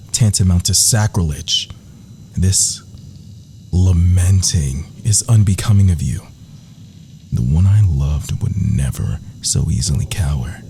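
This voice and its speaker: A man's voice: sits low, background noise. A man performing whispered ASMR roleplay as an adoring, menacing villain lover, theatrical and florid, intimate in delivery.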